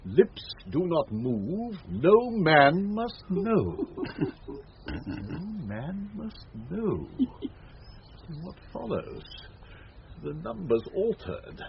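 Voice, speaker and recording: An actor playing a pompous, self-loving steward lost in grand daydreams, savouring each word in a vintage radio Shakespeare comedy. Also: male voice, high-pitched, huge pitch swings, extremely slow, gravelly, heavily acted. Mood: excited, puzzling. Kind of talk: 1970s radio Shakespeare acting. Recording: dull vintage radio recording with faint hiss.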